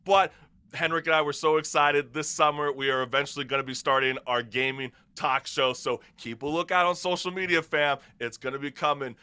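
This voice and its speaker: Guy hosting a chatty talk show, really bright forceful voice, slangy and hyped. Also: on the high side, steady tone, fairly quick, clean tone. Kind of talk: casual talk show chat.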